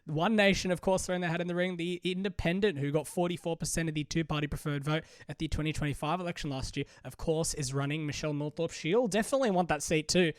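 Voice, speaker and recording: A man's voice: a little high, quick pace, few pauses, clear, resonant tone, no echo. A young man hosting a solo politics podcast, chatty and full of restarts, with a cold that makes him sound a bit different. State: has a cold. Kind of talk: casual solo commentary.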